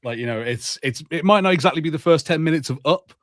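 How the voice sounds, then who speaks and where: A man's voice: fairly high pitch, quick pace, dry recording, studio quality. A man co-hosting a podcast, chatting casually about films and shows with wry, jokey asides. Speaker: podcast co-host. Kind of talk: casual film banter.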